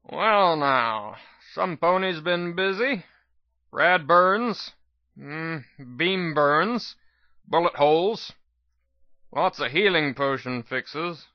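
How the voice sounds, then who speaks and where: A man voicing a folksy old wasteland doctor, pitched up. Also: animated, very slow, dry recording, highs cut off, full character voice. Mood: grimly amused. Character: scrawny old male unicorn wasteland doctor, grimly amused, world-weary. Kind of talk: dramatic reading of fan fiction.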